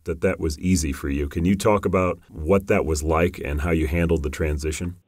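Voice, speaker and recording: A man hosting an interview podcast, asking long, measured questions. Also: very deep voice, steady tone, a little mellow, no room sound, clean studio sound. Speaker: podcast host.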